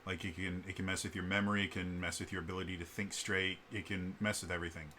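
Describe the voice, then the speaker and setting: A man's voice: low voice, little pitch movement, fast, sharp tone, slight background noise. A man talks casually as a vlogger and game master, explaining things in a digressive, storytelling way. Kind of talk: casual rambling storytelling vlog.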